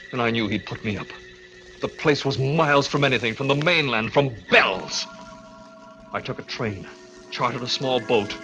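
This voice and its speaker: An actor playing a guilt-haunted man in a 1940s radio thriller, melodramatic and anguished, moving between hushed narration and frantic outbursts. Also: mid-range voice, close to an everyday voice, low-quality recording. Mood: subdued, haunted. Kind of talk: 1940s radio suspense acting, first-person narration. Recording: old 1940s radio broadcast, music behind.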